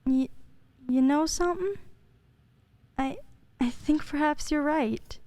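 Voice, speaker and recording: A woman playing a gentle, caring mother in an amateur radio play. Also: high-pitched, takes it slowly, no echo.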